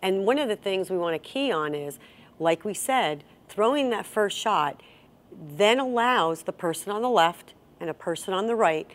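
A woman's voice: mid-range voice, animated, moderate pace. A middle-aged woman hosting an instructional chat in a bowling center, explaining rules step by step and asking guiding questions. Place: bowling center, seated at a table.

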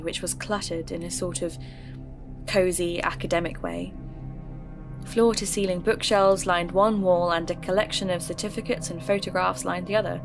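A woman narrating her own audio drama in an earnest, curious manner. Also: on the low side. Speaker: narrator and lead character. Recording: music bed behind voice.

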